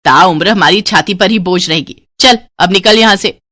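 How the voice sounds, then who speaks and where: A woman's voice: on the low side, normal speed, pushed and bright, no room sound, studio quality. A woman voicing a harsh, greedy, domineering middle-aged stepmother in a storybook tale. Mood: scornful. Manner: scolding, domineering.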